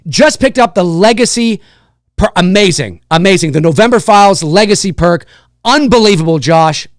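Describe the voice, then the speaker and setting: A hoarse man with a fairly high voice hosts a live stream in a casual chat. He talks excitedly, shouts out supporters loudly and trips over his words as he restarts. His voice sounds close and dry, with no room around it. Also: normal speed, dry recording. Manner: excitable, loud shout-outs, many restarts and fillers. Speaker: livestream host.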